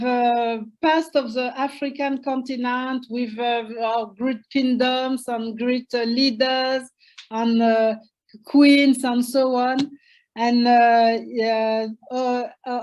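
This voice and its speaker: An older woman from Senegal speaks with a high voice at a slow, unhurried pace. She pauses often, filling gaps and restarting sentences. Her English is a to her. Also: darkish tone, low-quality recording. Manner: hesitant, many fillers and restarts. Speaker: historian guest. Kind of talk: interview conversation.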